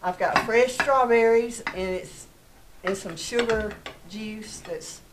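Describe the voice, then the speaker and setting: A woman's voice: on the high side, slow, background noise. An older woman hosting a home cooking show, talking in a plain, homey, encouraging way at her kitchen table. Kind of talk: casual cooking show chat. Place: home kitchen and dining room.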